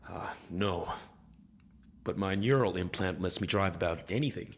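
Low, husky, weary veteran soldier. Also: male voice, moderate pace. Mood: guarded, polite. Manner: terse, muttering to himself. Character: weary, wry veteran soldier wandering alone. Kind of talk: audio drama acting.

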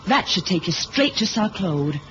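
A woman's voice: mid-range voice, sing-song, moderate pace, close to an everyday voice. An actress playing an earnest, vulnerable young woman on a spy mission in a 1970s radio drama, speaking tentatively. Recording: muffled, faintly noisy old radio recording.

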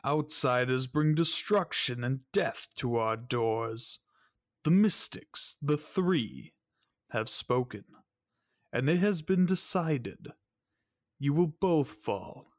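Man voicing a slow, solemn alien mystic. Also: medium pitch, lively intonation, no echo, studio quality. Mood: grave, accusing. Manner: solemn, measured, formal. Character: grave, cold alien mystic in robes, adult male. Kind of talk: audio drama acting.

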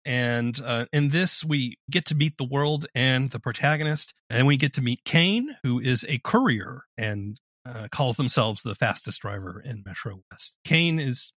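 A man's voice: mid-range voice, expressive, a little slow, fairly bright tone, no room sound, clean studio sound. A man hosting a podcast interview, summarizing and asking questions in a conversational way.

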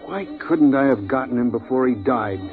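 An actor playing an eager, easily frustrated trophy hunter in a 1950s radio drama, excitable and prone to grumbling. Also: male voice, mid-range voice, normal speed, close to an everyday voice. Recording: muffled 1950s radio recording with music.

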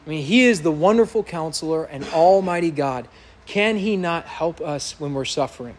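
A man preaching to his congregation in a church, his voice loud and somewhat high for a man. He speaks earnestly and with emphasis, building to impassioned peaks. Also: expressive, normal speed, slight background noise. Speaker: pastor. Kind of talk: sermon.

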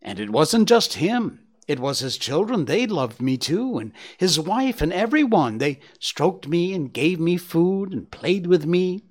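A man's voice: high-pitched, sing-song, a little slow, hardly any pauses, pitched above the performer's own voice, exaggerated voice. A man voicing a naive, boastful young male deer in a storybook reading, stammering when unsure. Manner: boastful, proud.

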